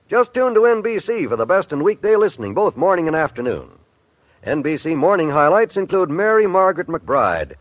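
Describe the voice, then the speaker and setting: A man's voice: medium pitch, no echo. A man announcing on 1950s radio, reading scripted promotion in a polished, salesmanlike way. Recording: dull vintage radio broadcast recording.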